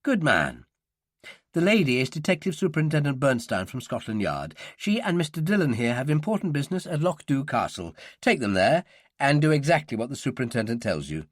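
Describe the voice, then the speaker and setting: A man voicing a brisk, authoritative male air force squadron leader with a commanding manner. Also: mid-range voice, very animated, dry recording, clean studio sound.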